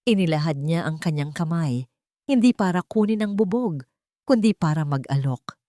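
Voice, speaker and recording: A woman's voice: sits low, lively intonation, normal speed, mellow, no echo, studio quality. A woman reading scripted dramatic fiction as an audiobook narrator.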